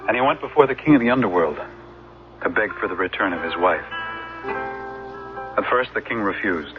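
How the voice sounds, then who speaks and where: A man's voice: lowish voice, animated, brisk, close to an everyday voice. Dull radio recording, music behind, male actor as ancient melancholy musician, wistful, hesitant, radio drama delivery. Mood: wistful storytelling.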